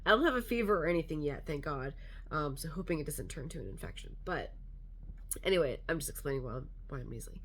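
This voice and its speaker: A woman's voice: low voice, animated, fast, slightly rough. A woman vlogger chatting casually to camera, congested and a little nasal, rambling with lots of fillers.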